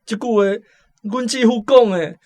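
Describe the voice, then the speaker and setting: Naive, evasive young drinker. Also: male voice, very high voice, moderate pace, a little mellow. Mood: nervous, evasive. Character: young working-class drinker, naive and evasive under questioning. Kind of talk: Taiwanese radio drama acting.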